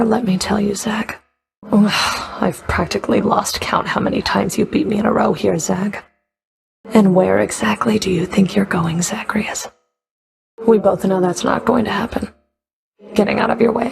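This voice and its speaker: A woman's voice: mid-range voice, gravelly. A woman playing a stern, sardonic underworld Fury in a video game, cool, taunting, curt and commanding. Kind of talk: video game character voice lines.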